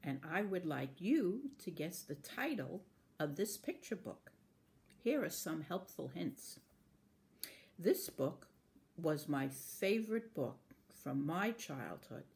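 A woman's voice: lowish voice, sing-song, slow pace, a little mellow. A woman in her sixties reading out a scripted quiz question as a librarian, recorded indoors at home. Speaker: librarian posing a trivia question. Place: small indoor room at home.

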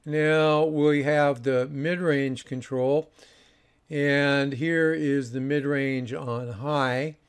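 A man hosting an audio review with a mid-pitched voice. He talks informally and matter-of-factly, explaining as he goes without a script. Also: steady tone, extremely slow, clear, resonant tone. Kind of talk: explanatory product review voice-over, casual and unscripted.

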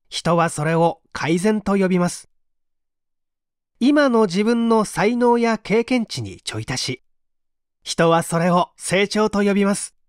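Book narrator, scripted read, measured and clearly phrased, animated intonation. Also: medium pitch, no room sound, studio quality. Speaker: audiobook narrator.

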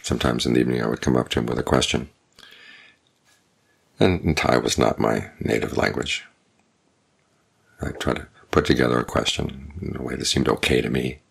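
Older man, very low voice, husky, calm measured monk's talk. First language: English. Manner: calm, measured, unhurried teaching with pauses. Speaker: Buddhist monk giving a Dhamma talk. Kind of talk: evening Dhamma talk.

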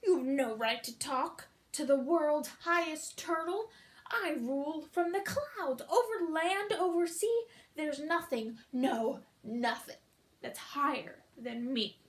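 A woman's voice: high voice, slow. A woman voicing a pompous, boastful male turtle king from a children's storybook, bellowing and bossy. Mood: angry. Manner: bellowing, imperious declaiming. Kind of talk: children's storybook read-aloud.

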